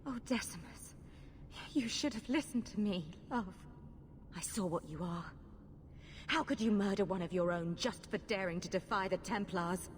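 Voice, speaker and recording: A woman playing a proud rebel sorceress in a fantasy game. She speaks with earnest, persuasive passion at a medium pitch, in long reverb over faint noise. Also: normal speed, lightly acted. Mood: grief, anger. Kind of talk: dramatic video game acting.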